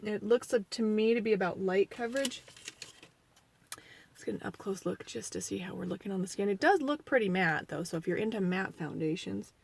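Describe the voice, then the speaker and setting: A woman's voice: mid-range voice, a little fast, vocal fry, breathy edge. Chatty sniffly woman in her forties. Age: 40s. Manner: chatty, rambling, self-deprecating; quieter and mumbling while applying makeup. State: sniffly from allergies. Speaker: beauty vlogger. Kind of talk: casual makeup review.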